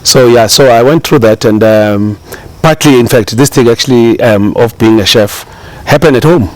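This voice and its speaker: A man's voice: medium pitch, normal speed, no room sound, low-quality recording. A middle-aged man with a South African accent, a chef talking casually as an interview guest, rambling with many fillers and restarts.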